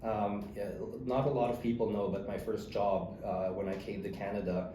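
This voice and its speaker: Low, hesitant man, echoey noisy recording. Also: level tone, warm tone. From Philippines. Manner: hesitant, polite, halting with repeated words. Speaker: interview guest, lawyer. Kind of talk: casual interview talk. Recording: echoey room with clear background noise.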